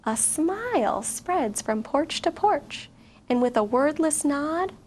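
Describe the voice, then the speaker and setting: A woman's voice: sits high, animated, takes it slowly, a little mellow. A woman reading a picture book aloud expressively to children. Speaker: guest story reader. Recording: faint background noise.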